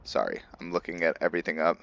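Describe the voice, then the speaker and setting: A man giving casual let's play commentary as host of a roleplay series, thinking aloud in a halting way. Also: low-pitched, expressive, gravelly, fairly bright tone, dull audio.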